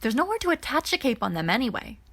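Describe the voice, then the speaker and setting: A woman's voice: a little high, very animated, quick pace, fairly bright tone, pitched above the performer's own voice, heavily acted. A woman voicing a good-natured, practical teenage boy in an audiobook, speaking casually and chattily. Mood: practical, joking.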